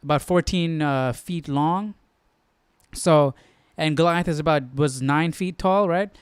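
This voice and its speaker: A male streamer talks through his study informally, thinking out loud. His voice is fairly high and very clean, in a dry recording with no room sound. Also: measured pace, clear, resonant tone, no room sound. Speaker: host of a live Bible study stream. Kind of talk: casual study-along commentary.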